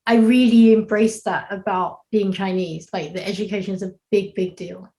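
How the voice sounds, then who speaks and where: A woman's voice: mid-range voice, fairly even intonation, moderate pace, dark tone. A woman from the UK, a doctor speaking as a panel guest in a conversational way. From United Kingdom. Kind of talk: livestream panel discussion.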